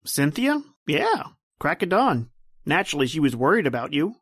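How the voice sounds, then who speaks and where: A man's voice: fairly high pitch, very animated, normal speed, fairly bright tone, dry recording, clean studio sound, heavily acted. A man playing a breezy, evasive young salesman in an old-time radio drama, wheedling in slangy talk. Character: slippery, wheedling young male salesman pal.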